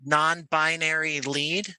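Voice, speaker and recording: An American man talking conversationally as an interview guest, choosing his words in an articulate, measured way. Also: on the high side, steady tone, pushed and bright, smooth, no echo. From Jersey City, United States. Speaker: podcast guest, audio play creator.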